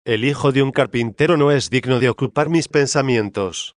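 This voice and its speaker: Un hombre que pone voz al espíritu de una reina difunta, orgullosa y desdeñosa, que responde con altivez. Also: male voice, mid-range voice, normal speed, no echo, studio quality, close to an everyday voice. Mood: haughty. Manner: disdainful, imperious. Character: haughty dead queen's spirit, woman, proud, scornful.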